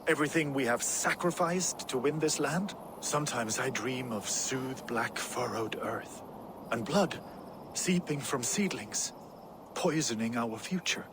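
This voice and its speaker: A man's voice: mid-range voice, lively intonation, natural voice. Man acting a brooding Viking warlord, noisy surroundings. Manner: grave, poetic, deliberate phrasing. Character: solemn Danish warlord, brooding and battle-weary. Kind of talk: video game dramatic acting.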